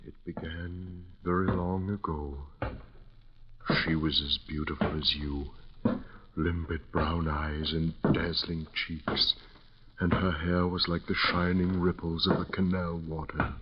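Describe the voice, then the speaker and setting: A deathless, obsessive suitor from old-time radio horror. His voice is low and unhurried, with courtly, portentous phrasing that pleads and threatens. The vintage recording is muffled. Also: noisy background. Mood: bitter, brooding. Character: undying lovelorn male phantom suitor, courtly, menacing, obsessive.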